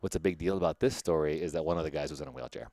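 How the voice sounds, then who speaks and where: A man with a Midwestern accent hosting a casual podcast chat, animated and excitable and quick to laugh. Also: extremely low pitch. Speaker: podcast host.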